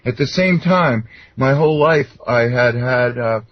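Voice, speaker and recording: Husky guy being interviewed, earnest rambling personal stories, kinda muffled lofi sound. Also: medium pitch, a little slow, no room sound. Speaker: interview guest, self-described contactee. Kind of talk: conversational personal storytelling.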